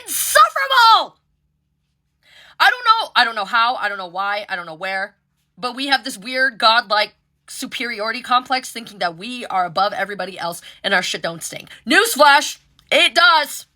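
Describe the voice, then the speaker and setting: A woman's voice: a little high, very animated, normal speed, bright, forceful tone. A woman on an impassioned rant, emphatic and exasperated, repeating phrases for effect. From Korean background. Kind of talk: impassioned direct-to-camera rant.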